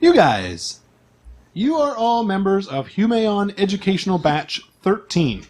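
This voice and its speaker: A man's voice: sits high, sing-song, unhurried, darkish tone. A man speaking as game master, explaining the game's setting to his players in an informal, conversational way.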